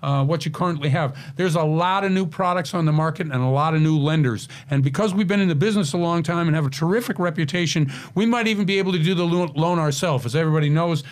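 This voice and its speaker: A middle-aged man hosting a radio talk show on mortgages, chatting casually with a guest and pausing often on fillers. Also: fairly high pitch. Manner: chatty, hesitant with many fillers.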